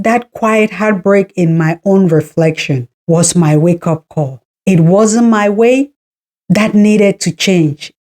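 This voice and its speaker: Podcast host, woman in her forties from Cameroon; slow, warm, reflective storytelling. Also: on the low side. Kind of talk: personal storytelling monologue.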